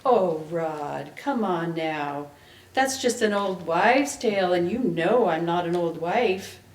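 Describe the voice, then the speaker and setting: A woman's voice: on the low side, lively intonation, slow, distant mic. A woman reading aloud as a brash, flirty small-town street woman of twenty-nine, with a drawl. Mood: coaxing. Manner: drawling, coaxing, sneering. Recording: echoey room, public event recording.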